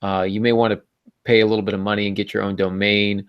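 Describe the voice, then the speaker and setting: A man's voice: on the low side, level tone, fast, clear, resonant tone, no echo, dull audio. A middle-aged man hosting a podcast in a casual, joking, tongue-in-cheek way. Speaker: podcast host.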